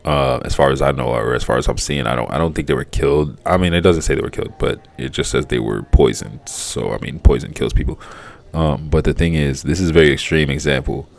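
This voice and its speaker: Deep creaky-voiced man vlogging. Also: fairly quick. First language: Haitian Creole. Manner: profane, rambling, emphatic. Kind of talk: casual commentary.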